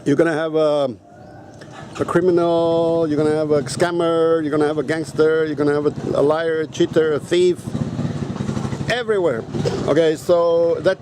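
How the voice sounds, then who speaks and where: A man's voice: on the high side, steady tone, moderate pace, darkish tone, a little hiss or hum. A native Afrikaans-speaking man vlogging outdoors in a casual, rambling and blunt way, swearing now and then. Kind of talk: casual rambling vlog talk.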